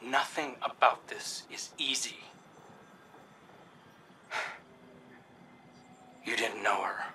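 A man's voice: medium pitch, normal speed, pushed and bright, slight background noise, lightly acted. An actor playing a guarded, grieving young man in a video game, curt and defensive. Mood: pained.

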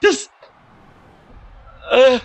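A man with a cold, commentating a video game wrestling tournament, shouting at the big moments and calmer between them. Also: extremely slow, no echo. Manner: shouts and gets high-pitched at big moments, calmer when tallying scores. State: has a cold, slightly hoarse. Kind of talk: excited play-by-play commentary.

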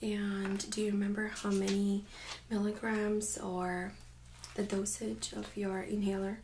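A woman in her thirties speaking gently and very softly, often near a whisper, in an ASMR medical role-play as an examiner. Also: medium pitch, flat delivery, a little slow, slight background noise. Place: small indoor room set up as exam office.

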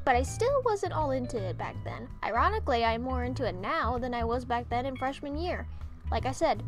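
High-voiced, expressive young woman. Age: young adult. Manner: expressive, theatrical delivery. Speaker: storytime video creator. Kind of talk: animated storytime vlog narration.

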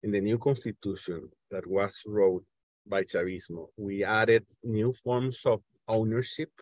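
A middle-aged man from Venezuela with a Venezuelan Spanish accent speaks haltingly on a video call, repeating words as he hosts an informal political discussion. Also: lowish voice, steady tone, darkish tone, no room sound. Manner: halting, stammering repetitions as he searches for words. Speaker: host of an online panel discussion. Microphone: earphones on a video call.

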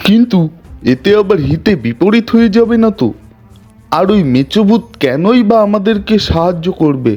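A man's voice: high voice, animated, moderate pace, a little mellow, lo-fi sound, faint music behind. A man playing a cautious, anxious villager in a cartoon story, earnest and a little bossy. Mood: worried, wary. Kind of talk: Bengali cartoon story voice acting.

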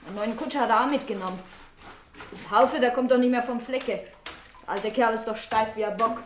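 A woman acting as a scornful young maid. Her voice is on the high side and her manner is tart and curt. It is played in the style of a 1960s radio drama. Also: a little fast, a little hiss or hum, close to an everyday voice. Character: sharp-tongued, hard young maidservant, defiant and scornful.

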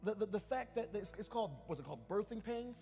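High-voiced emphatic male pastor preaching. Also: animated, fast talker, creaky, mellow, in a large hall, soft background music. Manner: emphatic, with repeated restarts and calls to the congregation. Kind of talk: sermon. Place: church sanctuary.

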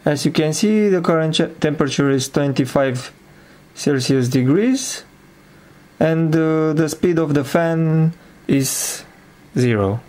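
A man with a slightly high-pitched voice presents a hands-on project demonstration. He speaks slowly and informally, pausing hesitantly and piecing his phrases together as he goes. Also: darkish tone.

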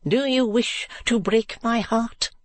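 A reader putting on a high-voiced, haughty genteel madame. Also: fairly even intonation, takes it slowly, no room sound, close to an everyday voice. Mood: scolding. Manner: scolding, imperious, genteel. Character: haughty, brisk businesswoman wife, affectedly genteel. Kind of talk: classic novel audiobook character.

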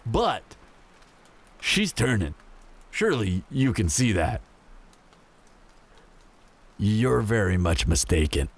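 Man voicing menacing male bandit, audio roleplay, lively swooping intonation, husky low voice, taunting drawl. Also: takes it slowly, long pauses, heavily acted. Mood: smug, taunting. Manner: taunting, drawling, mock-friendly. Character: menacing, smug male bandit in a zombie apocalypse.

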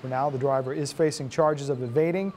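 A man's voice: mid-range voice, fairly even intonation, fairly quick, darkish tone, slight background noise. An American man in his thirties reading scripted news as a TV anchor. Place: TV news studio. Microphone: lapel mic.

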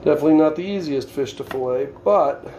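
A man's voice: a little high, quick pace, mellow, a little hiss or hum. A middle-aged man with a Boston accent giving a casual step-by-step how-to in his home kitchen, plainspoken and instructive as he works with his hands. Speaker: home cook demonstrating fish filleting. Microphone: camera on the counter.

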